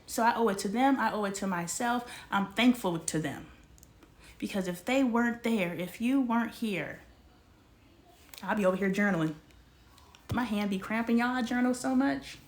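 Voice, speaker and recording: A woman in her forties hosting a casual livestream, talking straight to her viewers in an animated, slangy way. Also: mid-range voice, normal speed. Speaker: livestream host.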